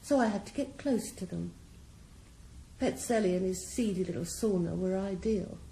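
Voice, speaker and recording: A woman's voice: medium pitch, moderate pace, gravelly, dark tone, natural voice. An actress in a radio drama playing a ruthless female drug boss, cool, composed and mocking. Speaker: criminal mastermind. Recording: roomy echo, faint background hiss.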